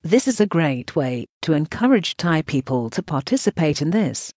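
Choppy synthetic news narrator, lively pitch. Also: moderate pace, no echo. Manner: choppy, reads punctuation marks aloud. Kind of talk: synthetic voice reading translated news text.

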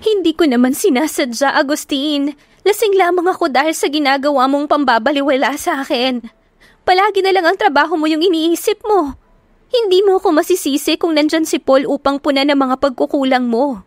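A woman's voice: sits high, fairly quick, dry recording, voice pushed up, natural voice. A woman narrator voicing a young woman who desperately begs her partner not to leave. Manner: desperate, pleading. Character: young woman pleading tearfully with her lover.

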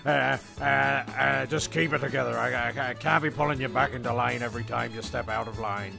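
A man's voice: mid-range voice, fairly even intonation, a little fast, creaky, music in the background. A man narrating a scripted comedy in a mock-grand, rambling way. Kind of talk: comic scripted storytelling with sung shanty.

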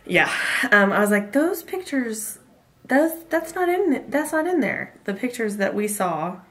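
A woman, chatting excitedly with a friend on a webcam in a small room, cutting in and acting out what she thought. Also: fairly high pitch, a little slow. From Texas. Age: late 20s. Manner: excitable, jumps in, acts out quotes. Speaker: vlogger. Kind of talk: casual chat with a friend. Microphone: webcam in front.

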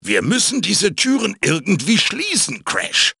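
An actor voicing a wise, bossy old magical wooden mask in a video game, raspy and theatrical, urging and instructing like a mentor. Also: male voice, high-pitched, huge pitch swings, measured pace, sharp tone, exaggerated voice. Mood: instructive. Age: older. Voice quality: raspy, gravelly. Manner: theatrical, emphatic, exhorting. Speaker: guide and tutorial mentor. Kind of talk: video game character voice acting.

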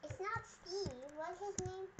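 A woman's voice: extremely high pitch, a little slow. A young girl chatting casually in roleplay as she plays a game with a partner. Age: child. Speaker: gaming video co-player. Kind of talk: casual roleplay chat while gaming. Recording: very noisy, clicks behind voice.

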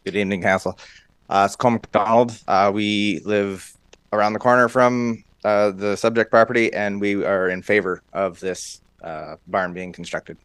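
A man's voice: fairly low pitch, fairly even intonation, normal speed, a bit bright, clear, resonant tone, no echo. A man making a short, formal public comment as a neighbour at a council meeting.

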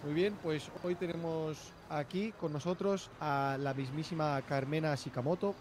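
A man's voice: a little high, a little slow, noisy background. Bossy man directing a scene. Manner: bossy, excitable, shouts orders. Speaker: roleplayer acting as film director. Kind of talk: casual game roleplay chat.

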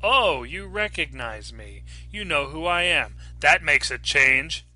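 A man's voice: on the high side, lively intonation, takes it slowly, faint music behind, voice pushed up, exaggerated voice, low-quality recording. A man voicing a drunk, violent, taunting husband in a horror story. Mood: sneering. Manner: taunting, shouted outbursts.

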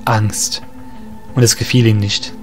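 A man narrating a fantasy audio drama in a measured storytelling way. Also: on the low side, little pitch movement, faint music behind.

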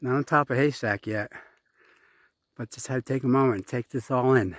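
A man casually narrating his hike to a handheld camera outdoors on a mountain trail, chatty and unhurried, winded at times from the climb. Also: mid-range voice, no room sound. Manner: chatty, unhurried, reads signs aloud. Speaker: hiking vlogger.

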